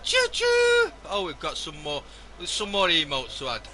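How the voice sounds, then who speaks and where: A man commentating a live sim racing stream, hoarse and losing his voice, joking wearily with the chat. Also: high voice, wildly swooping pitch, normal speed, crowd noise. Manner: weary, self-mocking banter with the chat.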